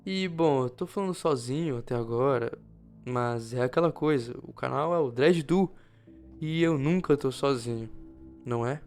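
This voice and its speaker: A man's voice: mid-range voice, moderate pace, a little mellow. Brazilian man narrating over music. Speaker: YouTube channel co-host. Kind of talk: informal video narration about analog horror. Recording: music behind the voice. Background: background music.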